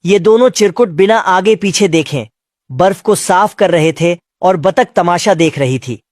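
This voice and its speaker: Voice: medium pitch, a little slow, a little mellow. A narrator telling a comic cartoon recap from a script in colloquial, slangy storytelling.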